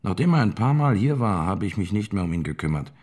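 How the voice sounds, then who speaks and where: A hotel owner with firm rules, speaking in a low male voice. His delivery is measured and matter-of-fact, like a witness statement in a radio documentary drama. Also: sing-song, a little mellow. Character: male hotel owner, plain-spoken, strict about his guests.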